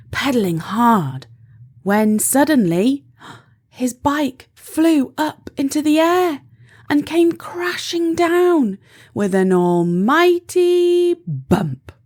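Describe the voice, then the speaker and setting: A woman storyteller reading a children's picture book aloud in an expressive, deliberate way for young listeners. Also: mid-range voice. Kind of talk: scripted children's audiobook narration.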